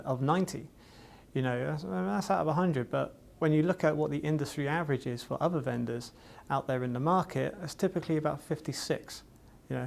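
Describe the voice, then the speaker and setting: A man from Wales with a gravelly edge to his voice, giving a corporate promotional interview. He speaks at an ordinary middle pitch and keeps falling back on "you know" between phrases. A faint hiss sits under the recording. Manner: filler-heavy, repeats "you know". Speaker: distributor sales representative.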